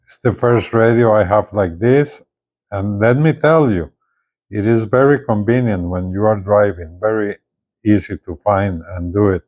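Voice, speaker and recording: A man presents a casual hands-on review in a fairly low voice. He takes his time, speaking very slowly and haltingly, and often stops to check that viewers follow. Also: a little mellow. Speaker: gadget reviewer presenting a CB radio. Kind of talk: casual hands-on product demonstration.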